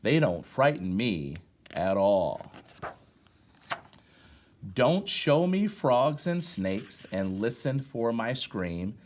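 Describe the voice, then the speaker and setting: A middle-aged man with an American accent hosts story time for children. His voice sits at an average male pitch. He speaks in a conversational, teacherly way, addressing young listeners directly as he shares a poem. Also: very animated, drawn-out words, pauses often. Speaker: guest storyteller, former teacher.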